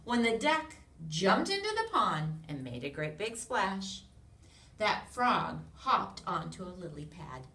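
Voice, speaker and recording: An American woman reads a picture book aloud to young children in an expressive way, with sound effects, questions and hushed asides to her listeners. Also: medium pitch, takes it slowly. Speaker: children's read-along reader. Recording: roomy, distant mic with noise.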